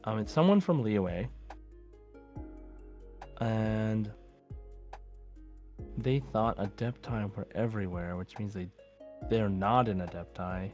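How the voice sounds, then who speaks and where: A man's voice: on the low side, slow, long pauses, darkish tone. A man chatting casually as a podcast co-host who takes part in a quiz. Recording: faint music behind the voice. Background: faint music.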